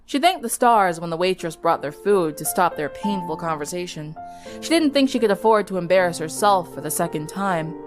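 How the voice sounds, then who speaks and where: A woman reading a story aloud as narrator, in expressive scripted narration. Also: lowish voice, normal speed, faint music behind.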